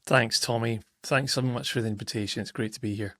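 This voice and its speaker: A man in his thirties from Scotland speaks as a podcast guest. He tells his story in an earnest, reflective way and often restarts his sentences. His voice has a medium pitch and a dry, close sound. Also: fairly even intonation, brisk, a bit bright, no room sound. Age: 30s. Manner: thoughtful, earnest, with self-corrections and fillers.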